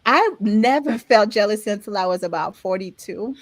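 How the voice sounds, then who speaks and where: A woman's voice: mid-range voice, animated, normal speed, no room sound. This is a woman in her forties from Haiti, speaking with a Haitian accent as she co-hosts a casual podcast. She tells her stories frankly and with animation, often breaking into laughter. Her voice sits in an ordinary middle register, recorded dry and close. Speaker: podcast co-host. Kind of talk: candid conversational podcast chat.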